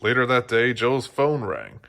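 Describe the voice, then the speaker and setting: A man's voice: mid-range voice, big pitch swings, unhurried, creaky, a bit bright. A man reading a children's storybook aloud as narrator, often stumbling and restarting his phrases. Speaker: storybook reader.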